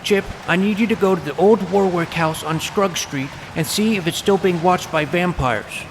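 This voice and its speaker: An earnest twelve-year-old boy vampire hunter , put on by a male narrator, pitched up from a grown man's talk. He sounds officious and bossy, as in an audio drama. Clear noise sits behind the voice. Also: normal speed. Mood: urgent, bossy. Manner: earnest, officious, radio-operator phrasing. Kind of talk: scripted audio drama acting.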